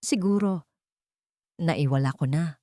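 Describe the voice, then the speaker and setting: A woman voicing a frail, worried older father in a weak, halting, bewildered manner for an audio drama. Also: low-pitched, mellow, dry recording, studio quality. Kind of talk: dramatic audio story dialogue.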